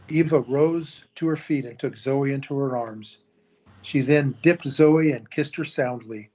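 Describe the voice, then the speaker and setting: Guy narrating audio drama, steady, bit dull lo-fi sound. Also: medium pitch.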